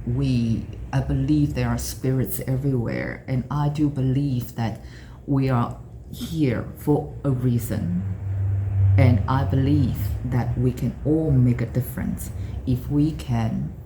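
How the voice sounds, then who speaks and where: A woman's voice: rumbling low, takes it slowly, mellow, a little hiss or hum, poor audio. A middle-aged woman from Taiwan with a Taiwanese accent, reflecting earnestly on her life, often restarting and repeating words as she searches for them. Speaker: interviewee. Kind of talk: personal storytelling.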